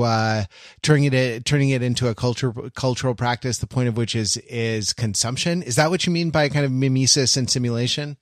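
A man co-hosting a casual podcast, inquisitive and halting, repeating phrases as he thinks aloud. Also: mid-range voice, dry recording, clean studio sound. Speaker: podcast host.

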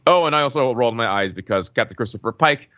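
A man's voice: mid-range voice, animated, fast talker, fairly bright tone, no echo, muffled recording. A man co-hosting a podcast, talking casually about pop culture in a wry, conversational way. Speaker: podcast co-host.

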